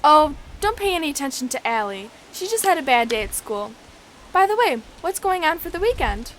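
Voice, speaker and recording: High-voiced earnest schoolgirl played by a young female. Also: very animated, fairly quick, fairly bright tone, a little hiss or hum, rough recording. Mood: friendly. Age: child or teenager. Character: friendly, kind schoolgirl standing up for others. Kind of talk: amateur radio drama acting.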